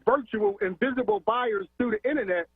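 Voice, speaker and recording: Impassioned high-voiced man calling on the phone. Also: brisk, husky, no echo. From Philadelphia. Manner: impassioned, repeats words, rhetorical questions. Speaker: caller. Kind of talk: call-in radio rant. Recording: tinny phone line.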